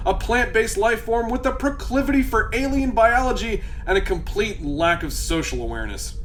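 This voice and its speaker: High-voiced, theatrical male comic narrator. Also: a bit bright, slight background noise. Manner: theatrical, storytelling.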